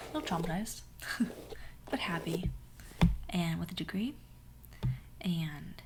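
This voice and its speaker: A young woman with a hoarse voice telling a sassy, dramatic story in a soft whisper. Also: fairly low pitch, noisy background. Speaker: ASMR storyteller. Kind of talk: whispered ASMR story time.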